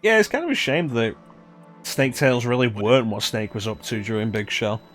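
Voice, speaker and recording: A man's voice: mid-range voice, expressive, normal speed, fairly bright tone. Sweary man streaming with music behind. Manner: sweary, joking. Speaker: game streamer. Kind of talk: casual live game commentary. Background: video game music.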